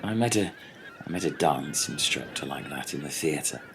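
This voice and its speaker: A man as a very deep, gravelly theatrical castaway. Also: expressive, noisy background. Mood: wistful, grand. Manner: dramatic, drawn-out phrasing with grand pauses. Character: theatrical, wistful old thespian castaway, prone to grand musings. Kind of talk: comic radio play acting.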